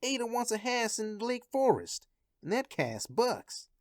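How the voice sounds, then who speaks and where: A woman's voice: on the high side, very animated, measured pace, a little mellow. A woman playing a greedy, falsely chummy male gambler in a radio play, stammering and wheedling. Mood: sheepish, wheedling.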